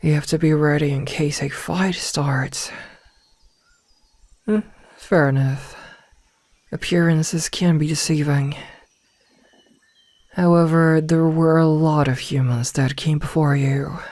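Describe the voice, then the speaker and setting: A woman voicing a possessive, coolly menacing female werewolf omega in an ASMR roleplay, speaking formally and deliberately. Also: low voice, fairly even intonation, a little mellow, dry recording. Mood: stern, measured. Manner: slow, deliberate, formal, with breathy whispered asides.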